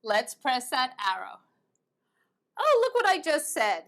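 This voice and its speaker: Woman English teacher, fairly high voice, slow and patient, repeats each verb form clearly. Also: huge pitch swings, fairly bright tone. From United States and England. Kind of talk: online grammar lesson.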